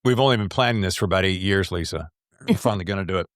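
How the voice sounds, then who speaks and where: A man's voice: low voice, very fast, no room sound, studio quality. An American man hosting a podcast interview, chatting casually with asides to his guest and the listeners.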